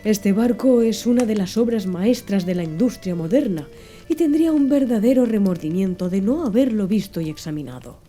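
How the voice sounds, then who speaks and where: A woman narrating an audiobook in a soft, slow, hushed ASMR style. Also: mid-range voice. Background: faint background music.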